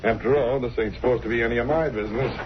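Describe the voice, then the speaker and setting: Through a dull, lightly hissing old radio recording comes a crooked henchman, played by a man. He talks in tough street slang at a middling pitch. He is a gleeful schemer in the style of classic radio crime drama. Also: racing, lightly acted. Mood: smug, conspiratorial. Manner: slangy, tough-guy talk. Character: slangy crooked crony, gleeful petty racketeer. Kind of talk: 1930s-40s radio crime drama acting. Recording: muffled, hissy vintage radio recording.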